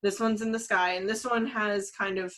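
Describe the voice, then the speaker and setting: Woman chatting, medium pitch. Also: fairly even intonation, moderate pace, fairly bright tone. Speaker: podcast panelist. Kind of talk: casual podcast chat.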